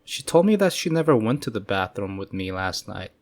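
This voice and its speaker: Male horror-story narrator, low voice, measured delivery over faint music. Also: very animated, a little mellow. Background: faint eerie music.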